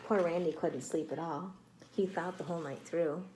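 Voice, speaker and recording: A woman reading a picture book aloud to children like a teacher, expressive and dramatic, with exclamations and prompting questions. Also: fairly low pitch, moderate pace, slight background noise, rough recording. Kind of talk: read-aloud storytelling with questions to young listeners.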